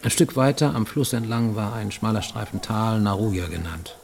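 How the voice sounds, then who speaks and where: An actor in a German radio play voicing a haunted middle-aged Japanese fugitive, formal and intense, sometimes dropping to a near whisper. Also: male voice, fairly low pitch, lively intonation, normal speed, creaky, a little mellow, slight background noise. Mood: reflective, narrating.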